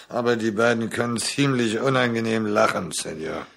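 An actor playing an easygoing rural postal messenger in a radio play, matter-of-fact and respectful. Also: male voice, fairly low pitch, expressive, moderate pace, vocal fry, a bit bright, heavily acted. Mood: cautioning. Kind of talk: German radio play acting.

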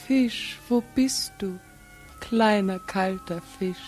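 A loving young woman, played by an actress in a 1940s radio play. She speaks extremely slowly, wistful and sorrowful, over faint music. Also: medium pitch, a little mellow, natural voice. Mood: tender, longing. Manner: lingering, wistful. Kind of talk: 1940s radio drama acting.

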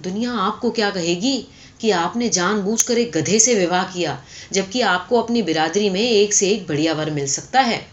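A woman's voice: medium pitch, lo-fi sound, close to an everyday voice. Woman voicing a timid, astonished male donkey suitor. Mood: anxious, doubtful. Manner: hesitant, stammering questions.

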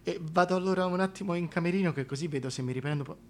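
A man's voice: sits high, rapid-fire, darkish tone, slight background noise, natural voice. A man playing a flustered, foul-mouthed presenter in a comic radio play, agitated, stammering and colloquial. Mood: dejected, pleading. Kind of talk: theatrical comic monologue.

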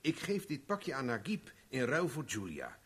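An actor playing a cocky, shrewd private investigator in a 1970s radio play, mocking and self-assured. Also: male voice, mid-range voice, wildly swooping pitch, moderate pace, breathy edge, in a large hall. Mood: triumphant, menacing.

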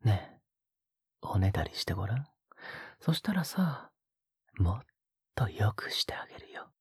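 Man acting a breathy, whispering possessive young lover. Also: fairly low pitch, sing-song, no room sound, clean studio sound, full character voice. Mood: coaxing, teasing. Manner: slow coaxing, teasing murmur. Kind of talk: intimate whispered audio drama acting.

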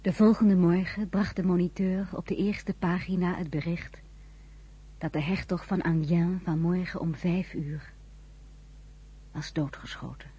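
A woman's voice: fairly low pitch, steady tone, a little slow, dark tone, husky, muffled recording. A woman with a Dutch accent reading scripted narration for a radio drama. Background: music.

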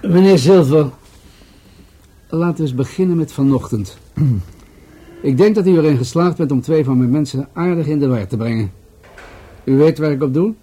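An actor playing an unruffled police commissioner in a radio drama, dryly ironic and measured as he questions people. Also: a little high, mellow, husky.

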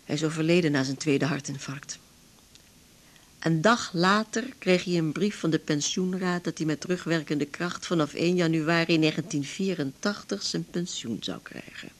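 An actress playing a lonely, tense older widow in a naturalistic radio drama, confiding haltingly in Netherlands Dutch. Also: female voice, sits low, lightly acted. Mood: bitter, grieving.

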